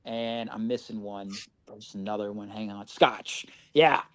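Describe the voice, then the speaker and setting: A man's voice: lowish voice, fairly even intonation, a little slow, fairly bright tone, no echo. Candid man, dry close sound. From Indiana. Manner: candid, rambling, swears freely. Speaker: podcast guest. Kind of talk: casual recovery storytelling.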